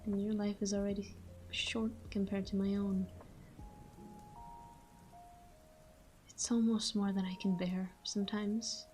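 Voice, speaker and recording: A woman playing a tender, melancholy vampire lover in an intimate roleplay monologue, murmuring slowly and slipping into whispers. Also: medium pitch, warm tone, lightly acted. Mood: wistful, loving. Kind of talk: intimate ASMR-style audio roleplay monologue.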